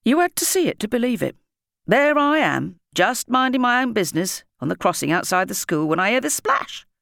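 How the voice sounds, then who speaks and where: A woman's voice: a little high, sing-song, brisk, vocal fry, fairly bright tone, no echo, studio quality, pitched above the performer's own voice, exaggerated voice. A woman voicing a pompous, bossy, self-important middle-aged man in an audiobook story. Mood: boastful, self-important. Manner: breathless spluttering and puffed-up boasting.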